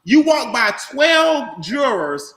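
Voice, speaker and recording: High, bright-voiced animated man storyteller. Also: takes it slowly. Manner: animated, loud, laughing storyteller with slang. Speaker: host and trainer. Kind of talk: storytelling on a group video call. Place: home room on video call. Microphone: webcam or laptop mic on video call. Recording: echoey room, faint noise.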